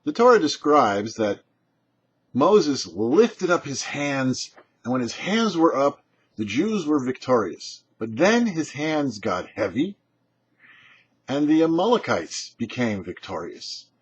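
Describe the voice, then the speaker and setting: A man with a husky voice, giving a short scripted religious teaching as a rabbi in an emphatic, explanatory way. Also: medium pitch, very animated, takes it slowly. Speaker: rabbi and show host. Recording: dull, muffled lo-fi audio.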